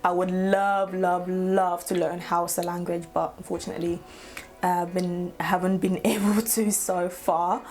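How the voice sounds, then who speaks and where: A woman's voice: on the low side, little pitch movement, unhurried, darkish tone. A woman, talking casually to her camera and laughing often as she chats. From Nigeria. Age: 20s. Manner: chatty, laughs often, playful asides. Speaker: YouTuber. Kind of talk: casual get-to-know-me vlog.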